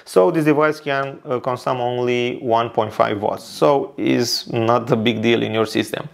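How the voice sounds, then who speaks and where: Romanian man, 30s, accented non-native English, unhurried tech tutorial, lots of "uh" and restarts, seated at a desk in a studio. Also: mid-range voice, fairly even intonation, creaky. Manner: careful non-native English with frequent uh fillers and repeats. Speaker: tech reviewer. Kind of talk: product review tutorial. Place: indoor studio with neon lights and shelves.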